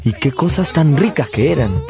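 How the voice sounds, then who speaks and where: An actor playing a nostalgic man who narrates his family's past in a measured, lyrical way for a radio drama. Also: a little high, lively intonation, creaky, over music. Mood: fond.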